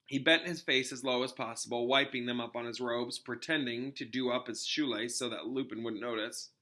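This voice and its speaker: A man, a teacher, reading a novel aloud as expressive storybook narration. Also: medium pitch, a little fast.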